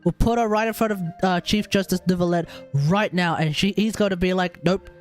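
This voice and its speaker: A woman's voice: lowish voice, steady tone, a little fast. A woman giving casual live commentary on a game, blunt and slangy, often repeating phrases, over game music. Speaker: game streamer.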